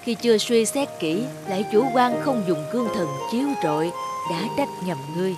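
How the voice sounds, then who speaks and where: A woman voicing a stern, dignified old male heavenly messenger in an animated fairy tale, formal and commanding. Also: mid-range voice, a little fast, loud music behind the voice, close to an everyday voice. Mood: apologetic.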